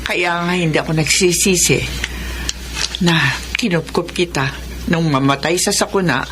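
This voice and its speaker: A woman's voice: on the low side, moderate pace, natural voice. A woman acting a kindly, protective older aunt in a Filipino radio drama, consoling and motherly, with a Filipino accent. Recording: noisy radio drama recording.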